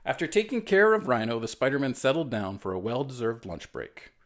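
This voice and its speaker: Male storyteller for kids, friendly and encouraging, highly varied pitch, relaxed asides. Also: brisk. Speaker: writer reading his children's story. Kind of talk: animated storytime reading with casual asides.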